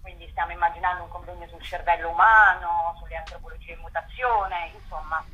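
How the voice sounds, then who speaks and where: Curator, woman, lowish voice, halting and rambling, dull lo-fi line. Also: steady tone, slight background noise. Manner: rambling, repeats words, many fillers. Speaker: theatre project curator. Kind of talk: radio interview.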